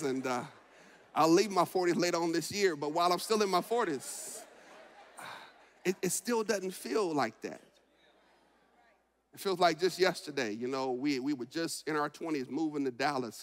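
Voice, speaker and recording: A man in his forties preaches from the pulpit with a high, ringing voice. He swings from loud, shouted declarations down to quiet, reflective talk and repeats words and phrases for emphasis. The sound carries the long reverb of a large church sanctuary. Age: 40s. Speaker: pastor. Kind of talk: sermon.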